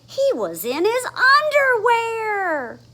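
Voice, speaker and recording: A woman's voice: extremely high pitch, huge pitch swings, takes it slowly, pushed and bright. A woman reading a children's story aloud expressively, with dramatic emphasis on the sound words. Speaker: storybook reader. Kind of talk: animated children's read-aloud.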